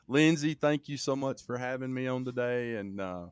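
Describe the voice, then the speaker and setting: Brief man, podcast guest: smooth, clear voice in a dry room, halting and full of restarts, livens up when he tells stories. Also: mid-range voice, no room sound. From Alabama, US. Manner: halting, with many restarts and fillers; lively storytelling. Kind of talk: casual conversation.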